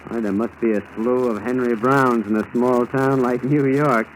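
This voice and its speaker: An actor playing a wry, businesslike American FBI agent in a 1940s radio drama. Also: male voice, mid-range voice, steady tone, normal speed, lightly acted. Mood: dry, businesslike. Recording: noisy old 1940s radio recording.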